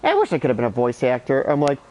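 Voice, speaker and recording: A man's voice: medium pitch, wildly swooping pitch, fast talker, a little mellow, a little hiss or hum. A stuffy, sleep-deprived man in his thirties rambling and swearing as he plays a game. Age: 30s. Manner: rambling, profane, opinionated. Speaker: streamer. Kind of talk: casual gameplay chatter. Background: game music.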